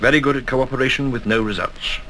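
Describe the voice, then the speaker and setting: An actor playing a courteous, commanding colonel in a 1960s radio drama, speaking in brisk, formal briefings. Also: male voice, mid-range voice, fairly even intonation, fairly bright tone, natural voice. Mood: grave briefing. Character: genteel, commanding older intelligence colonel, male, dryly courteous. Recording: faintly noisy 1960s flexidisc radio recording.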